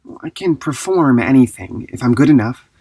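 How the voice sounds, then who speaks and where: Intense, husky-voiced dancer played by an actor. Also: medium pitch, expressive, moderate pace, mellow, no room sound, low-quality recording. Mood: proud, curt. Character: intense, proud, driven dancer. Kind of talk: naturalistic fiction podcast acting.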